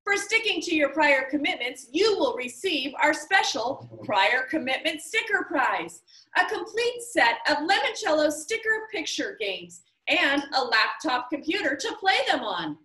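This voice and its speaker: A woman's voice: sits high, sing-song, unhurried, pushed and bright, pitched above the performer's own voice, heavily acted. A woman voicing an eccentric, cheerful male game-maker who announces things theatrically. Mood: showy. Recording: roomy, somewhat distant mic.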